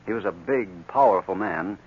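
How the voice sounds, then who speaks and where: A man's voice: mid-range voice, huge pitch swings, normal speed, creaky. A man narrating a scripted adventure story in dramatic 1940s radio style. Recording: dull, noisy 1940s radio recording.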